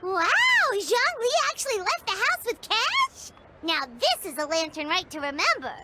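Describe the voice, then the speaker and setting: A woman voicing a small, excitable, cheeky fairy companion in an animated game, with a squeaky childlike voice. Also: normal speed, very noisy. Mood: surprised, curious. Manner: perky, refers to self in third person. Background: prominent background music.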